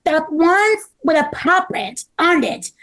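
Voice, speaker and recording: Eager rescue pup for a kids' table read female performer: very high, slow, theatrical. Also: animated, fairly bright tone, exaggerated voice. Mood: earnest, eager. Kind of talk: table read of a children's story script.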